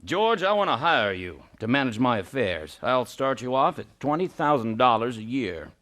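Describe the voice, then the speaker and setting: A man playing a gruff scheming old banker. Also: mid-range voice, sing-song, normal speed, fairly bright tone. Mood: domineering. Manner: gruff, domineering, overbearing. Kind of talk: radio play acting.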